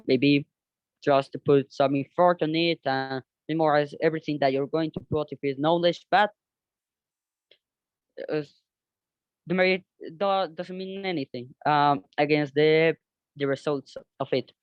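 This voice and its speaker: Halting man with a Colombian accent. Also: a little high, clean tone, no room sound. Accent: Colombian Spanish. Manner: halting, searches for words, frequent restarts in hesitant English. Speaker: student presenting a class podcast project. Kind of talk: unscripted class presentation. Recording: dull, dry close-mic recording.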